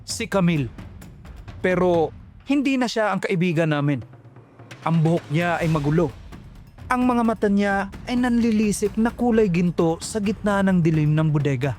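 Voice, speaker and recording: A man's voice: high-pitched, expressive, normal speed, long pauses, a little mellow, faint music behind. A man narrating a first-person horror story in a dramatic, suspenseful manner.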